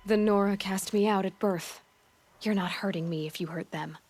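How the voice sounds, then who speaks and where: An actress playing a blunt, defiant young captive hunter in a video game, scornful and challenging. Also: female voice, mid-range voice, normal speed, darkish tone, close to an everyday voice. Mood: cold defiance. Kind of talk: dramatic video game acting. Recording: dramatic music behind the voice. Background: music.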